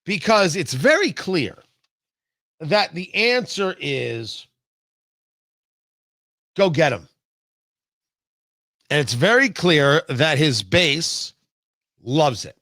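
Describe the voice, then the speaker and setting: An American man delivers political commentary in a bright, forceful voice that swings widely in pitch. He is emphatic, leaning on drawn-out stresses and repeated phrases, and the sound is close and dry. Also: very animated, no room sound. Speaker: political talk show host. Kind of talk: opinionated political commentary monologue.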